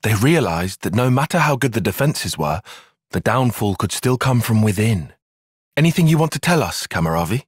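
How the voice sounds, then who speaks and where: A young male tactician from a video game, earnest yet guarded. His phrasing is precise and analytical, with a sarcastic bite and a very mobile pitch. The voice has a slightly breathy, husky grain and sits close and dry, without room sound. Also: sing-song, no room sound. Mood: measured, analytical. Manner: precise, articulate, dryly sarcastic, measured. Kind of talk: video game cutscene voice acting.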